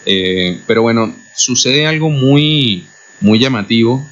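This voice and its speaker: A man's voice: mid-range voice, animated, slow, fairly bright tone. A man with a Venezuelan accent hosting a radio interview, speaking thoughtfully and hesitantly, with many fillers. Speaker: radio host. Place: radio studio. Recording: muffled lo-fi radio recording.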